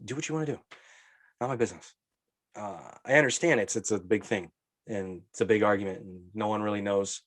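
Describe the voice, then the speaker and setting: A man's voice: lowish voice, a little fast, fairly bright tone, no echo, studio quality. A man in his late thirties with a head cold, talking bluntly and offhandedly as a podcast co-host. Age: 30s. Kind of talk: casual chat.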